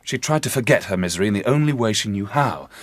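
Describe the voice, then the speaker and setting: A man's voice: lowish voice, expressive, quick pace, dry recording. An actor playing a confident amateur detective in a radio drama, dramatic and eloquent as he tells the tale. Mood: assured. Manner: dramatic, eloquent, assured storyteller. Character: theatrical, confident amateur detective revealing a mystery. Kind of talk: period radio drama acting.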